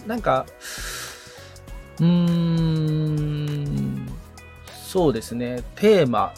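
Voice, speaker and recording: A man's voice: a little high, very slow, vocal fry, darkish tone, faint music behind. A man in a casual consultation as the podcast assistant, listening attentively, agreeing often and thinking aloud as he asks questions.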